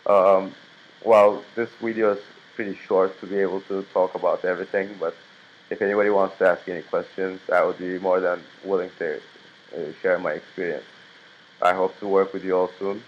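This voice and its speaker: A man from Turkey, in his twenties, giving a class video introduction in a plain, steady way with frequent fillers and restarts. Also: low-pitched, moderate pace, dull audio, slight background noise. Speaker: student.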